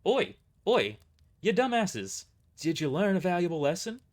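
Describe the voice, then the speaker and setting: A man's voice: high-pitched, big pitch swings, a little slow, voice pushed up. A man voicing a cocky young woman mercenary leader, blunt and smugly mocking, in an audio drama. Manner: taunting, smug, blunt.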